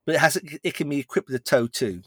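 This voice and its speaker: Male podcast co-host, middle-pitched, explains rules at length, dry recording. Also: animated, fast talker, a bit bright, no room sound. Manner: explains rules in long runs, with many restarts. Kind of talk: casual chat about tabletop wargame rules.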